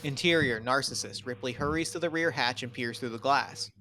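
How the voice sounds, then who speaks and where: A man's voice: medium pitch, a little fast, bright, forceful tone. A man reading screenplay stage directions aloud as dramatic narration at a table read.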